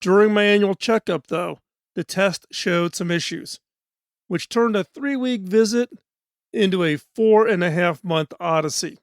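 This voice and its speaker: A middle-aged man hosting a podcast in a studio. He talks in an earnest, measured, scripted way, and his voice is hoarse. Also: sits high, no room sound. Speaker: podcast host.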